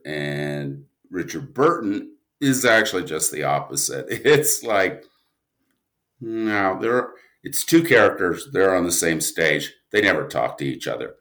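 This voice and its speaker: He is a man with a lowish voice, talking as a guest in a casual interview. His delivery is slow and halting, with restarts mid-thought. The intonation moves widely, which keeps him animated. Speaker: interview guest, audio drama writer.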